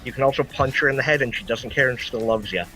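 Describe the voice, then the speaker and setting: Male co-host, mid-pitched and sharp, dry joking chat with soft music underneath. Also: fast talker, low-quality recording. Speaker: livestream co-host. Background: faint music.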